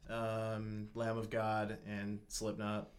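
A man with a low voice, a band vocalist guest talking bluntly and swearing casually. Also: completely flat delivery, takes it slowly. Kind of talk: casual interview chat.

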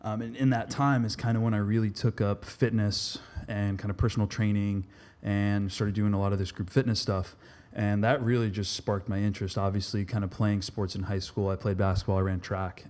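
A man in his twenties from Massachusetts, a physical therapy student talking casually as a podcast guest, relaxed and chatty with lots of "kinda" and "um". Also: lowish voice, a little fast. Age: 20s.

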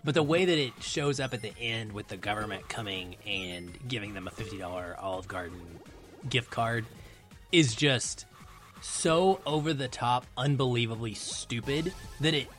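A man's voice: medium pitch, moderate pace, a bit bright, faint music behind. A man giving emphatic, opinionated film commentary in a casual podcast conversation.

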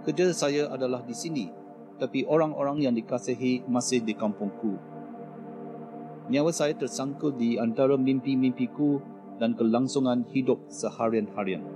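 A man's voice: mid-range voice, brisk, music in the background, close to an everyday voice. A man in a radio play playing an anxious migrant worker, speaking in an earnest, poetic recital. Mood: uneasy, solemn. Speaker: corridor inhabitant.

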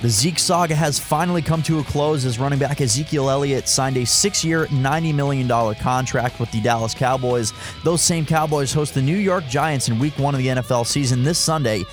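A man's voice: mid-range voice, fairly quick, faint music behind. A man hosting sports radio, reading updates and wrapping up shows.

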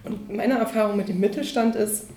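A woman's voice: medium pitch, steady tone, brisk, dark tone, echoey room. A woman from Berlin, an employer branding consultant, explaining her work in an interview with many fillers. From Berlin, Germany. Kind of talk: interview answers.

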